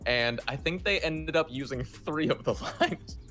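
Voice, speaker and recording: A man speaking casually as an interview guest, telling stories in an animated, self-deprecating way. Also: on the high side, measured pace, soft background music. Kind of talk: casual chat.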